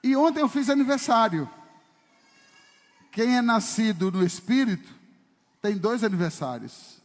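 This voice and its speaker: A man's voice: high-pitched, animated, measured pace. A man preaching a sermon as pastor, emphatic and full of rhetorical questions to the congregation. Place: church service hall. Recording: long reverb of a church hall.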